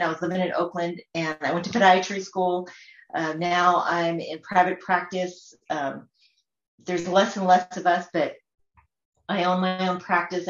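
Low-voiced woman, casual and umming. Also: echoey room, dull audio. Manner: casual, lots of ums. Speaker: podiatrist guest. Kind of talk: panel self-introduction.

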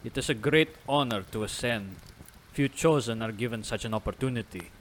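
A man's voice: mid-range voice, fairly quick, slight background noise, natural voice, low-quality recording. An actor playing a stern, devout village father who speaks formally and solemnly in an audio drama. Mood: solemn, grieving. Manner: formal, solemn, archaic phrasing.

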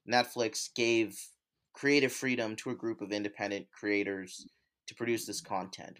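Low-voiced man, tentative delivery. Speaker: podcast co-host. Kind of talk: casual discussion.

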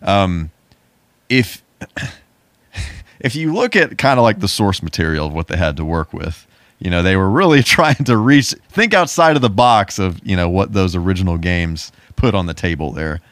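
A man with a low voice chatting informally about films. His delivery is loose and halting, and the recording is close and dry, free of room sound. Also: animated, fairly bright tone, no room sound. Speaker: podcast co-host. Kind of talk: casual chat about movies.

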